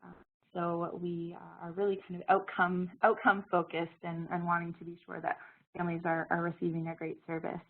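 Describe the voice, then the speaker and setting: A woman with a cold talks through a presentation, her voice scratchy and a little low. She hesitates and restarts often. The sound is narrow and thin like a phone line. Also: completely flat delivery, a little fast. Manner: hesitant, many fillers and restarts. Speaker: presenter, program director. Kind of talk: information session presentation. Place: Halifax, Canada.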